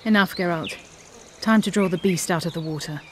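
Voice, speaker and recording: An actress playing a haughty, self-assured sorceress in a video game, speaking in a cool, imperious way. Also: female voice, fairly low pitch, a little fast, slight background noise, close to an everyday voice. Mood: cool, confident.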